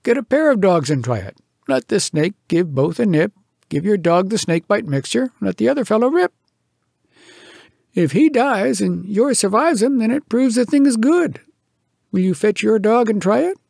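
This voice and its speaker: Wry, high-pitched scientist done by a man. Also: sing-song, normal speed, gravelly, a little mellow, husky, no echo, clean studio sound, full character voice. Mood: wry, challenging. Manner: pointed, advising. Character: wry, practical man of science challenging a boaster.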